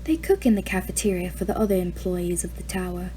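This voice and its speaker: A woman voicing a poised woman executive who speaks calmly and softly. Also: on the low side, fast, a little hiss or hum, lightly acted.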